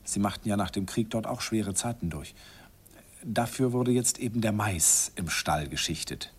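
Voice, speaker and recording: An actor playing a nostalgic older man who recalls his shy first love in an unhurried, wistful radio play narration. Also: on the low side, breathy edge. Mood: wistful, reminiscing. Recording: vintage radio recording with music behind.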